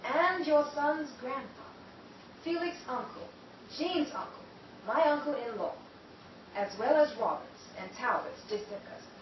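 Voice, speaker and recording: A woman acts out a commanding, insistent in-law in a radio drama, firm and cutting. The recording is very echoey with clear background noise. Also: high voice, expressive, measured pace, muffled recording. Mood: firm, impatient. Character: insistent, commanding female in-law of a plotting family. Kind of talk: amateur radio play acting. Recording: very echoey, noisy, distant microphone.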